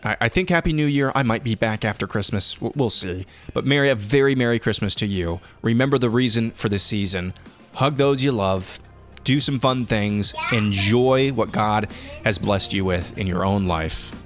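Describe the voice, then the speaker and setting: Low-voiced man hosting a relaxed podcast. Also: normal speed, soft background music. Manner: relaxed, conversational, frequent restarts. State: losing his voice. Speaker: podcast host. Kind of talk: casual listener Q&A.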